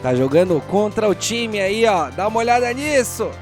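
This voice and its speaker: A man with a Brazilian accent hosting and narrating a tabletop RPG audio drama as game master, in a theatrical, deliberate storyteller's manner. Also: sits high, expressive. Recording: music playing behind the voice. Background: music.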